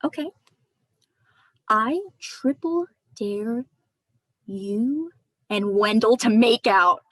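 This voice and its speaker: A woman's voice: fairly high pitch, animated, very slow, a bit bright, no echo, full character voice, low-quality recording. An actress playing a bold, playful young woman with a challenging manner in a dramatized script reading. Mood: playful, daring.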